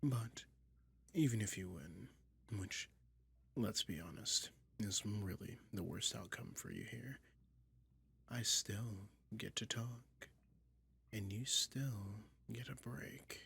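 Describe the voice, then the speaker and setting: A man voicing a soft-spoken, teasing dragon in a hypnotic ASMR roleplay, mostly in a breathy, lulling whisper. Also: fairly low pitch, slow, exaggerated voice. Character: soothing, toying male dragon lurking in a cave.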